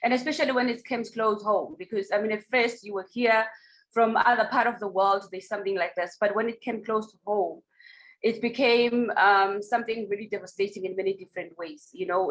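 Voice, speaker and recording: A South African woman talks about her work as a documentary director. She speaks in a reflective, halting way and fills her pauses with plenty of "um"s. Her voice sits at a middle pitch. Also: rough recording. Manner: reflective, with many pauses and fillers. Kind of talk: online festival Q&A.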